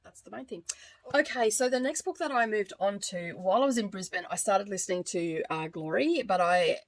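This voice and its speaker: A woman's voice: medium pitch, fairly quick, rough recording. A woman with an Australian accent reviewing books in an informal, rambling way, often correcting herself as she goes. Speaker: book reviewer, vlogger. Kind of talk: casual chat to camera, book review.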